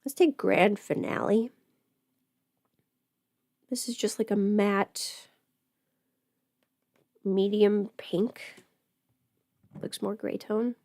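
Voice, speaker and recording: A woman's voice: mid-range voice, steady tone, drawn-out words, long pauses, a little mellow, dry recording. A woman livestreaming in casual, rambling chat as she does her makeup, informal and mildly sweary. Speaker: livestream host.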